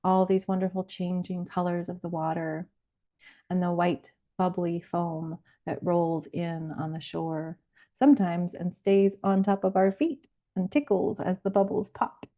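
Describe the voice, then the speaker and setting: A woman leading a guided visualization as a relaxation guide, speaking in a soothing, unhurried way. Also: on the low side, fairly even intonation, dark tone. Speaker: laughter yoga leader, meditation guide. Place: live online or group session recording. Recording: band-limited, phone-like sound.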